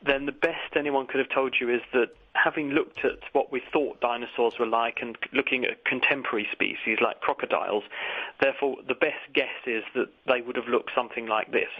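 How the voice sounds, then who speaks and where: A man's voice: medium pitch, steady tone, fairly quick, slightly rough. A man explaining science to radio listeners as an expert over a phone line. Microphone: phone line into a radio show. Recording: narrow, tinny phone line.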